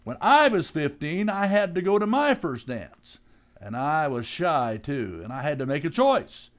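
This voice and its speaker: An actor playing a blustery, bumbling, well-meaning working-class dad in a live old-time radio comedy, with folksy, ungrammatical, theatrical talk. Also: male voice, fairly high pitch, big pitch swings, unhurried. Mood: reassuring, folksy.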